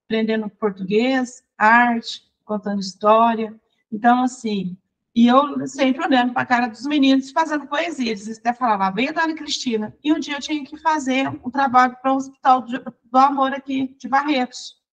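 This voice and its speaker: A Brazilian woman, a songwriter and teacher, recounting her life in a chatty, lively interview. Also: high voice, moderate pace, rough recording. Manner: lively, chatty, restarts and laughs while recounting. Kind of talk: personal storytelling in conversation.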